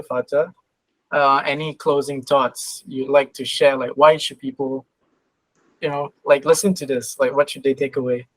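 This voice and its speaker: Dry-sounding male roundtable host, mid pitch. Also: sharp tone, no echo, rough recording. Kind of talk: casual chat.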